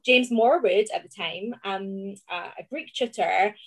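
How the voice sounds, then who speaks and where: A woman with a Glaswegian accent, speaking as a classics researcher in a chatty, animated interview and laughing often. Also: medium pitch, unhurried. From Scotland. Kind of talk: conversational podcast interview.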